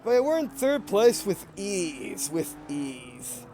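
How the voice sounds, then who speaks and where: A man's voice: sits high, takes it slowly, slight background noise. Rambling young-sounding man with Southern-Russian accent. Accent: mixed Southern and Russian. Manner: rambling, self-correcting, excitable reactions. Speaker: let's play gamer. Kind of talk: casual gameplay commentary.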